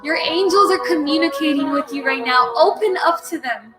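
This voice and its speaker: High-voiced woman leading guided breathwork, unhurried and soothing, over clear background music. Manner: slow, soothing, repetitive coaching with loud breathing sighs. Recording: music playing behind.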